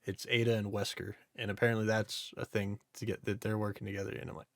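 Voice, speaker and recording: A man's voice: fairly low pitch, level tone, quick pace, vocal fry, a bit bright, no echo, studio quality. A man co-hosting a podcast, ranting casually and emphatically with strong opinions about films. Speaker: podcast co-host. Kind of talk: casual opinionated movie chat.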